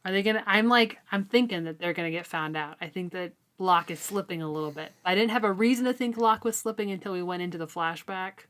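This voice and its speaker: A woman co-hosting a casual, informal book discussion, talking in a halting, conversational way. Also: lowish voice, fairly bright tone. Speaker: co-host of a book read-along discussion.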